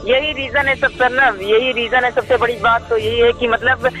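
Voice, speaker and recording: A man's voice: extremely high pitch, little pitch movement, normal speed, bright, forceful tone. A man talking on a phone call as an activist, deferential but insistent, often repeating himself. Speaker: activist caller. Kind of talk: casual phone discussion. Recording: live call recording with music behind.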